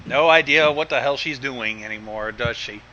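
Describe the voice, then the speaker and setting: A man's voice: medium pitch, moderate pace, bright, forceful tone, muffled recording. A man giving casual, sweary reaction commentary over a voice call, swinging between exasperated outbursts and muttered asides. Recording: noisy call connection.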